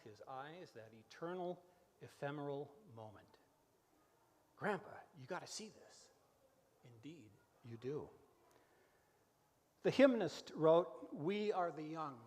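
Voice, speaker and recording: Older man speaking in an echoey hall. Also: fairly high pitch. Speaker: host of a church radio variety show. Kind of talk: live interview and scripted reflective monologue. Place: large church room, live before audience. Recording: echoey hall with long reverb.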